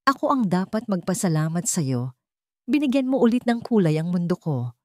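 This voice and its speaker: A woman voicing an adoring wealthy tycoon husband in a story, soft and earnest. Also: on the low side, big pitch swings, moderate pace, no echo, clean studio sound. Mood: tender.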